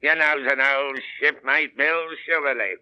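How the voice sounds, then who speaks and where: An actor playing a sly pirate shipmate, mock-friendly and menacing, in 1930s radio drama style. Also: male voice, medium pitch, steady tone, a little slow, pushed and bright, smooth. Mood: falsely friendly. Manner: wheedling, falsely affectionate. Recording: muffled old 1938 radio recording.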